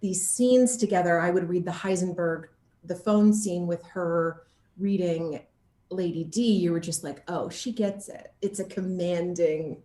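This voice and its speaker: Female interview guest, slightly low voice, casual and animated, echoey room. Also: a little slow. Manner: animated, acts out quoted reactions. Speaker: guest, actor interviewed about game casting and motion capture.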